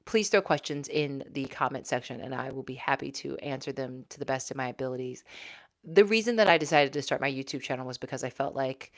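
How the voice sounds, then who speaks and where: A woman's voice: extremely low pitch, a little fast. A woman in her forties from Texas, a former news anchor, chatting casually and reading out viewer comments on her livestream. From Houston, Texas. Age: 40s. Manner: chatty, reads out viewer comments, laughs often. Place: home.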